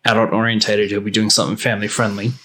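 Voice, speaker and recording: A man's voice: on the low side, little pitch movement, very fast, sharp tone. A man chatting casually and enthusiastically as a podcast panelist, with informal, fannish delivery. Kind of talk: casual group chat.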